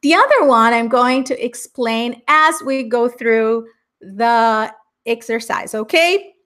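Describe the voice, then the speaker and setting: Middle-aged Brazilian woman, Americanized accent, English teacher on a live class, upbeat and unhurried, a touch high. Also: fairly bright tone. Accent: Brazilian Portuguese, Americanized English. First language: Portuguese. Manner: upbeat, chatty, laughs often.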